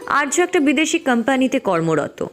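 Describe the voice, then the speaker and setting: A woman narrates a cartoon tale. Her voice is slightly high and lively in pitch, with music playing underneath. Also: normal speed. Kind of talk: scripted storybook narration for a cartoon.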